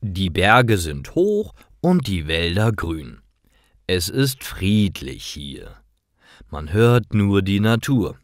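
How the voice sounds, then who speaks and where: A man narrating simple scripted stories for language learners in a careful, expressive way. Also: low-pitched, takes it slowly, dry recording, studio quality. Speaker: storyteller for German learners.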